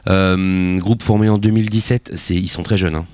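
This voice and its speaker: Hesitant deep-voiced male radio host. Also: steady tone, normal speed, darkish tone, clear, resonant tone, no echo. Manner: hesitant, many fillers. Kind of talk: casual radio chat.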